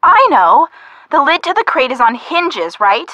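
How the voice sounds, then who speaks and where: Casting: practical young woman, a sleuth's wry friend in an adventure game; fairly high, crisp-edged bright voice, confident. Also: sing-song, measured pace, no echo, muffled recording, heavily acted. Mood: helpful, practical. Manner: matter-of-fact, wry. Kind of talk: video game hint dialogue.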